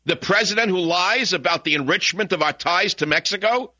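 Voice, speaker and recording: An older man with a Bronx New York accent hosting a talk radio show, ranting combatively and swinging between muttering and shouting into a studio mic. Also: high-pitched, a little fast, no room sound. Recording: dull, lo-fi, dry broadcast audio.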